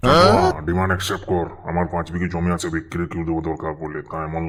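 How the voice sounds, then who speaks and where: A man's voice: sits low, big pitch swings, quick pace. A man voicing an impatient, lusty village sidekick who eagerly urges others on in a comedy cartoon. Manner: impatient, urging. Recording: loud noise with clicks.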